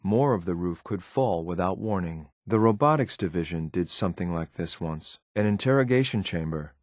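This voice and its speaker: A cold, dutiful android soldier from a video game, with a low male voice. He speaks in a clipped, formal way, restrained and obedient. The sound is dry and close. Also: animated, normal speed, a little mellow, dry recording. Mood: guarded, observant. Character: stern, loyal male synth operative, cold and dutiful. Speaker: companion character in a video game. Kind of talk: scripted game voice lines.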